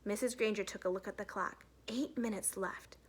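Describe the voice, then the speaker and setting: A woman's voice: medium pitch, fairly even intonation, moderate pace, slightly rough. A woman narrating a children's storybook expressively, like a teacher reading aloud to a class.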